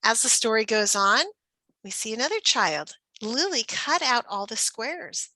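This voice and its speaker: A woman presents as a teacher trainer with a bright, expressive voice on the high side. She explains in an instructional way and often puts questions as one would to young children. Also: measured pace, no room sound, low-quality recording.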